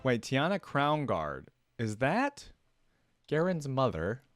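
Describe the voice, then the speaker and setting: A man's voice: medium pitch, very animated, takes it slowly, soft background music. A man giving casual, off-the-cuff reaction commentary as a video host.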